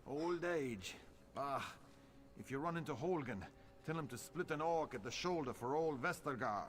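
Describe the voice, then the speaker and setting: An actor playing a gruff, bitter old warrior in a video game, grumbling about battles past. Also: male voice, fairly high pitch, expressive, normal speed, close to an everyday voice. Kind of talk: video game character acting.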